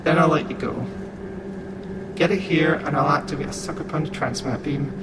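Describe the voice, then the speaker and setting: Man as greedy space villain, sardonic, mock-polite, threatening, audio drama, heavy background rumble. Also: steady tone, moderate pace, close to an everyday voice. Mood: menacing, sardonic. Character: sly, menacing, greedy male armed thief. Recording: loud vehicle-like background noise.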